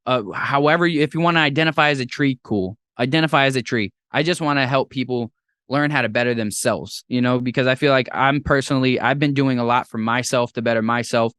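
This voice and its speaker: A young man hosting a podcast in casual chat, talking in an animated, rambling way with playful jumps into a high voice. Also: quick pace, clear, resonant tone, no echo. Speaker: podcast host.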